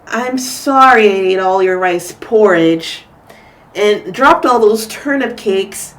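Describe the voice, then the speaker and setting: A woman's voice: mid-range voice, takes it slowly, a bit bright, recorded from a distance. A woman voicing a sleepy, remorseful young girl in a storybook read-aloud, breathless and exclaiming. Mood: apologetic.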